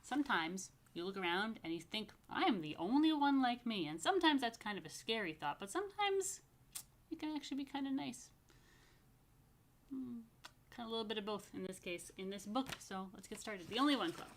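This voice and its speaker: Animated woman reading a storybook to children. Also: mid-range voice. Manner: expressive, dramatic emphasis and drawn-out words. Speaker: rabbi reading a picture book to children. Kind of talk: animated storytime read-aloud with chatty asides.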